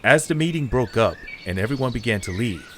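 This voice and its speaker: A man narrating an audio drama in a calm, even, scripted way. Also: medium pitch, fairly quick, a little hiss or hum.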